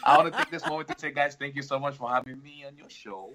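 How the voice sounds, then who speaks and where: A man's voice: medium pitch, fast, sharp tone. Laughing, easygoing Haitian-born man. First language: Haitian Creole. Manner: friendly, laughs often, loose and rambling. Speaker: guest, fitness instructor. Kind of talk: casual podcast interview.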